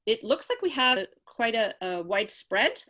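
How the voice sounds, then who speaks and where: A woman's voice: a little high, big pitch swings, unhurried, sharp tone. A woman from a marketing team hosting a webinar, friendly and chatty with frequent fillers. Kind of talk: hosting a webinar, reading poll results.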